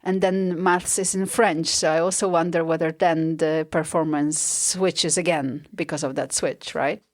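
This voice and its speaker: A woman hosts an interview podcast in a chatty, reflective style. Her pitch is on the low side, and the sound is very dry, with no room echo. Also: fairly even intonation, a little slow, darkish tone. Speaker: podcast host. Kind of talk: conversational interviewing.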